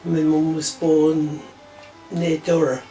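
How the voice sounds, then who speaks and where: A woman's voice: deep voice, flat delivery, slow pace, warm tone, faint music behind. A woman of about seventy, from England, answering questions plainly and simply. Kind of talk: oral history interview. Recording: echoey, distant from mic.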